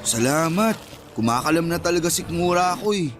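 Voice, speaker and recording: A man's voice: a little high, lively intonation, brisk, a little hiss or hum, natural voice. An actor playing an easygoing young man chatting and joking with friends in a Filipino radio drama. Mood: casual, teasing. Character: easygoing young male friend heading on vacation.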